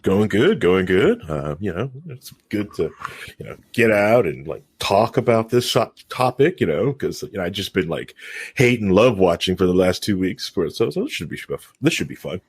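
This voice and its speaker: A man co-hosting a podcast, chatting loosely and haltingly, with a lot of "like" and "you know". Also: sits low, big pitch swings, husky, no echo. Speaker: co-host. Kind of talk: casual chat.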